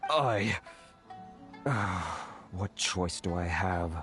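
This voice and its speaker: A man's voice: deep voice, lively intonation, very slow, fairly bright tone, exaggerated voice. A man voicing a timid, earnest merchant in a video game, hesitant and deferential. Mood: nervous. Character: timid, grateful male merchant, earnest and flustered. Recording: faint game music under the voice.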